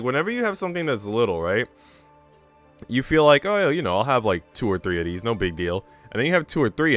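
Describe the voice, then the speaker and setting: A man's voice: mid-range voice, fairly bright tone. A man livestreaming in casual chat, talking in a slangy, profane, bro-ish way. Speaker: streamer. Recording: music behind the voice.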